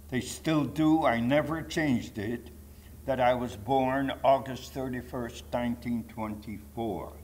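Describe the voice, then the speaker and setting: Slow-spoken elderly Polish man, noisy recording. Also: medium pitch, a little mellow. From Poland. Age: 90s. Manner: emphatic, digressive storytelling with rhetorical asides. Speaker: Holocaust survivor giving testimony. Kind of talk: personal testimony to a live audience. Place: public talk to an audience.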